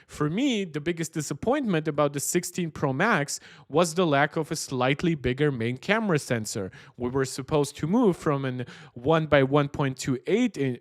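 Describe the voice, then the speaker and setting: A man with a slightly high voice hosts a tech livestream. He talks conversationally and gives his opinions straight to viewers. The sound is close and dry. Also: no room sound. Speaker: tech channel host. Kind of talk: livestream chat and reaction commentary.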